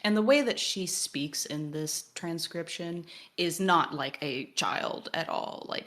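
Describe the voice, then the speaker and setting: A woman hosting a podcast, speaking in measured, analytical discussion with precise, formally worded sentences. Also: low-pitched, fairly bright tone. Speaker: podcast host.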